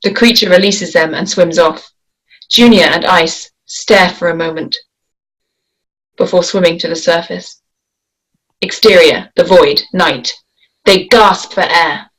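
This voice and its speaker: A woman reading a screenplay aloud in a measured, descriptive way, giving action lines and scene headings. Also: fairly low pitch, a bit bright, breathy edge, low-quality recording. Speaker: script reader. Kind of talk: screenplay table read.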